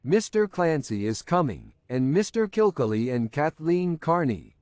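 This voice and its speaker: A man reading the lines of an eager, teasing young woman who presses her questions, in a slow, lively storybook reading. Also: fairly high pitch, no room sound, studio quality. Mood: pressing.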